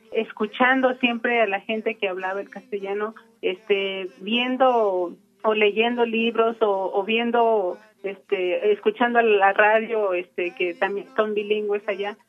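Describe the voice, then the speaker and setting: A woman from Michoacán, Mexico, whose first language is Purépecha, giving a reflective personal account in a thoughtful, unhurried way with frequent pauses. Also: mid-range voice, narrow, tinny audio. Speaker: interviewee.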